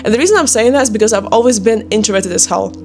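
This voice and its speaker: A woman's voice: on the high side, very fast, darkish tone, soft background music. A young woman with a Ukrainian accent chatting casually and motivationally to viewers, in an informal, emphatic, encouraging manner. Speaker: online video creator and sales closer. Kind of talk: casual motivational chat to camera.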